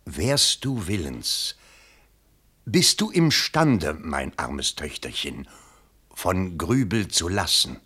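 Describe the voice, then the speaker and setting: A desperate middle-aged father in vintage radio drama. The voice is low and husky, coaxing one moment and theatrically pleading the next, with big swings in pitch. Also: big pitch swings, takes it slowly, sharp tone, full character voice. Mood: anxious coaxing. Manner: theatrical, wheedling, swinging into outbursts. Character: desperate, pleading bourgeois father and election candidate. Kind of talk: 1960s German radio play acting.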